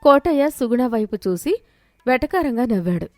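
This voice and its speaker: A woman narrates a scripted moral tale in a slightly high voice. The recording is dry, with soft music running underneath. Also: very animated, moderate pace, dry recording. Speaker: story narrator.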